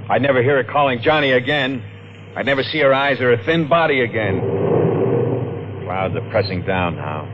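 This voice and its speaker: An actor playing a haunted, guilt-ridden wife killer in an overwrought, intense 1950s radio thriller style. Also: male voice, fairly low pitch, natural voice. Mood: brooding dread. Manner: overwrought, intense dramatic delivery. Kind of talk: 1950s radio thriller acting, first-person narration. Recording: muffled, noisy old radio recording.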